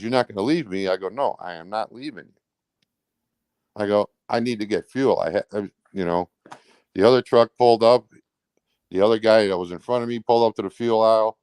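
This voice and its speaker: A man's voice: lowish voice, darkish tone, clean tone, no echo. A man talking casually as an interview guest, recounting personal events in a rambling, blunt, colloquial way. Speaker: truck driver.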